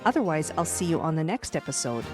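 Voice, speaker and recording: A woman hosts a storytime show for children in a fairly low voice. Her delivery swings up and down in a very lively way. Music plays clearly behind her as she gives her scripted lines. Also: fast. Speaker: podcast host.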